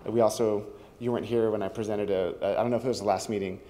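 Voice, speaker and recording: A man speaking loudly and rambling at public comment in a city meeting, as a teacher and parent. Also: on the low side, steady tone, racing. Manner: loud, rambling, with restarts. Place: community hall meeting room.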